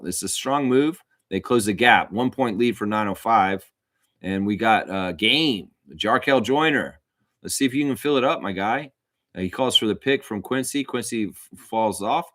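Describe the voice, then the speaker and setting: Low-voiced man calling basketball, close dry sound. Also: a bit bright, dry recording. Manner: informal, opinionated fan talk. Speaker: host and amateur play-by-play commentator of a livestream watchalong. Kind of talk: live basketball commentary mixed with casual chat to viewers.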